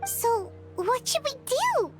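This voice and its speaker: A woman voicing a small, excitable, bossy fairy companion in a video game, with a squeaky childlike voice and perky manner. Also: slow, loud music behind the voice, full character voice. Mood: concerned. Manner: perky, exclaiming, refers to self by name.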